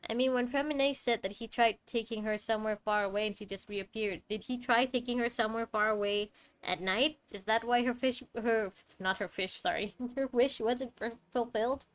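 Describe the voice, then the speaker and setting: A woman's voice: fairly high pitch, normal speed. Young woman streamer, casual and chatty, muses aloud over the plot. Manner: chatty, thinking out loud, self-correcting. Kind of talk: casual game commentary.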